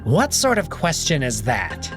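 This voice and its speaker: A man's voice: on the high side, wildly swooping pitch, measured pace, music in the background. A man voicing a proud young male wolf emperor who is defensive and commanding. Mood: shaken. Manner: indignant, commanding outbursts.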